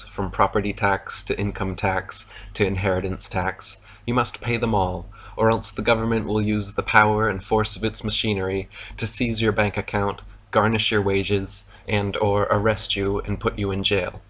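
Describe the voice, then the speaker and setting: Brief: American male narrator, low and even, steady polemical voice-over. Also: moderate pace, hardly any pauses. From United States.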